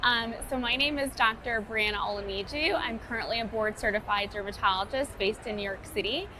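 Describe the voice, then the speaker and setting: A woman dermatologist on a live panel, explaining in a friendly, clear way. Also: fairly high pitch, a little fast. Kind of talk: panel discussion. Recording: live event with clear noise.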